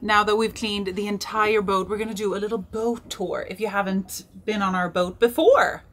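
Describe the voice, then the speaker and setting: Woman, 30s, mid pitch, casual vlog chat, rambling, self-correcting, small boat cabin, close camera mic. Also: fairly even intonation, moderate pace, slight background noise. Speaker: vlogger.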